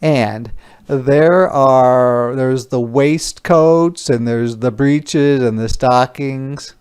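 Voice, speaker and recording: A man reading a picture book aloud as a teacher would to young children, expressive, explaining and questioning. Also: medium pitch, drawn-out words, clear, resonant tone.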